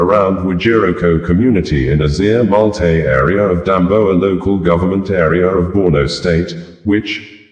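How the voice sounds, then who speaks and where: Man, Nigerian accent, deep voice, scripted news narration, formal and measured, roomy echo. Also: darkish tone. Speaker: news presenter. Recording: roomy, echoing voice.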